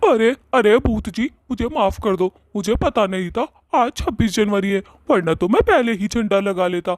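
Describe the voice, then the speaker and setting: A man's voice: high voice, lively intonation, normal speed, dry recording, clean studio sound. A man voicing a fearful old villager in a cartoon story, repeating words in a pleading, agitated way. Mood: terrified pleading. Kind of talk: Hindi cartoon story voice acting.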